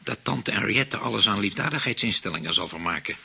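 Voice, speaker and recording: Casting: a hesitant, money-minded husband in a radio play, Netherlands Dutch accent, creaky and bright-edged. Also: male voice, on the low side, lively intonation, brisk, exaggerated voice. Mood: worried, rueful. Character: spendthrift husband fretting over an aunt's inheritance.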